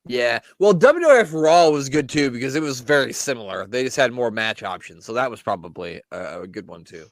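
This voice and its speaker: A man's voice: medium pitch, expressive, a little fast, dry recording. A man co-hosting a casual podcast, joking and laughing as he chats over an old wrestling broadcast. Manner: joking, laughs often, banters. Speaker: podcast co-host.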